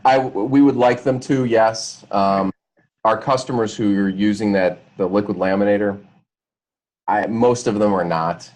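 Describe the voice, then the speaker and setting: Low-voiced man presenting, halting delivery. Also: steady tone, a little mellow. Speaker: product presenter. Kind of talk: informal product presentation on a conference call.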